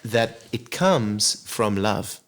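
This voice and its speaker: A man, a singer talking as a guest in a relaxed, reflective interview. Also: on the low side, very slow. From Zimbabwe. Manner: reflective, earnest, many "you know" asides. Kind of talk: casual interview chat.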